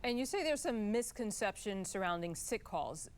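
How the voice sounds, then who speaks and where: A woman's voice: mid-range voice, very animated, moderate pace. An American woman working as a TV news anchor, reading introductions and putting questions in a broadcast interview style.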